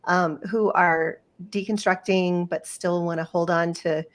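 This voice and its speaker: A woman's voice: on the low side, steady tone, unhurried. A woman hosting a podcast interview, talking in an earnest, effusive way with plenty of fillers. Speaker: podcast host. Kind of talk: casual interview chat.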